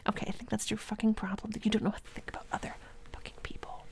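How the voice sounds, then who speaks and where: A woman acting out a bossy, scolding young girlfriend in an audio roleplay, slipping between hushed whispers and loud outbursts and laughing mockingly as she talks. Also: mid-range voice, little pitch movement, very fast, close to an everyday voice. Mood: domineering. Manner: frequent laughter while talking.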